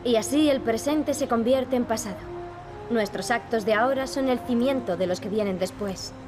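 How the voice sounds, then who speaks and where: An actress playing an earnest, determined young princess in a video game. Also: female voice, on the high side, moderate pace, close to an everyday voice. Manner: earnest, serious. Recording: loud game music behind. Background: music.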